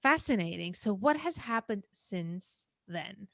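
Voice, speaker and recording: A woman's voice: medium pitch, animated, unhurried, vocal fry, no echo. A woman hosting a podcast interview as a business coach, speaking in an enthusiastic, effusive way.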